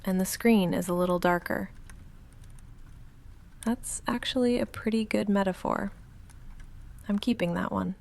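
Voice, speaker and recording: A woman playing a goth vampire roommate in an intimate ASMR roleplay, soft-spoken and halting, dry and guarded but quietly affectionate, often slipping into near-whisper. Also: mid-range voice, creaky. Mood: weary, subdued.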